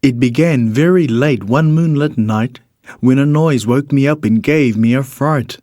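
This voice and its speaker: A man reads a rhyming children's storybook in an expressive, measured storytelling manner. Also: medium pitch, no echo, clean studio sound. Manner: expressive, measured storytelling in the character of a bird narrator. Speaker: storybook reader.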